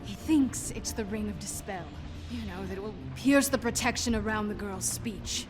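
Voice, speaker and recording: A woman's voice: medium pitch, moderate pace, over music, lightly acted. An actress playing a bitter, defiant old witch woman in a dramatic video game, indignant and pleading. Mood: resigned. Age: older.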